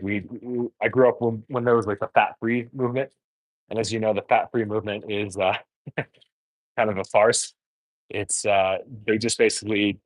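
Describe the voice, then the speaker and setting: Man, thirties, lowish voice, frank filler-heavy livestream talk, dry room. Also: no room sound, low-quality recording. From born in Korea, raised in the US. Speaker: dating coach host. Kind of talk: casual livestream chat while cooking.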